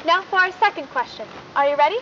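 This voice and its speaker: A young woman playing a perky, devoted high school news co-host in a 1990s horror broadcast, with bubbly, gushing delivery. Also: expressive, quick pace. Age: young adult. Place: outdoors in a forest at night. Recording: dull lo-fi VHS-style audio, faint noise.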